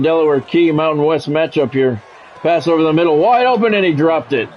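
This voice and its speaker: Higher-voiced man calling a sports game. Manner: blunt, sweary, exasperated. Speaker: video game streamer and play-by-play commentator. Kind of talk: sports game play-by-play mixed with casual reactions. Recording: dull lo-fi audio, faint background noise.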